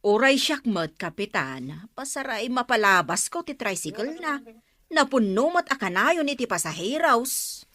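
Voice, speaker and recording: A woman's voice: on the high side, big pitch swings, measured pace, sharp tone, no echo, exaggerated voice. An actress with an Ilocano accent playing a gossipy, tattling village woman in a radio comedy drama.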